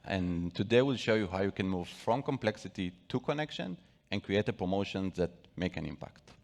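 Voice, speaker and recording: A man's voice: sits low, expressive, fairly quick, creaky, long reverb tail. A man co-presenting at a conference, giving a brief, rehearsed introduction. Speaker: product manager co-presenting. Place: conference stage.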